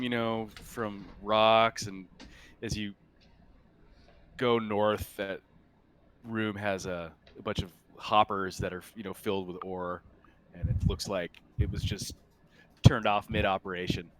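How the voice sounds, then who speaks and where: A man acting as game master, narrating scenes in a casual, halting, conversational way. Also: lowish voice, steady tone, a bit bright, a little hiss or hum.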